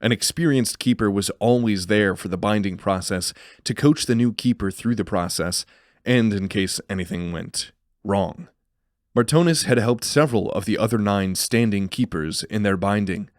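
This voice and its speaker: A man narrating a scripted fantasy audio drama in a measured storytelling manner. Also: lowish voice, no echo, clean studio sound.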